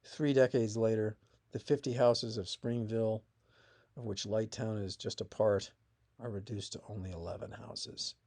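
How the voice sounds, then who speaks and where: A man narrating a scripted piece of family history as its author, in a measured reading with emphatic phrase openings that fall away. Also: on the low side, steady tone, gravelly, a little mellow. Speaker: author reading own essay.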